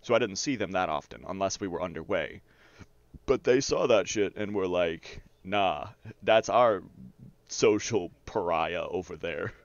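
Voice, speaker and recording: A man with a lowish voice tells personal anecdotes in a loose, rambling way, as if streaming a game to friends. His language is salty and informal. The audio is a little dull and band-limited, like voice chat. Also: a little slow, dry recording. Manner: rambling, profane, often chuckles while talking. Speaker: streamer telling personal stories while gaming. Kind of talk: casual anecdotal storytelling over gameplay.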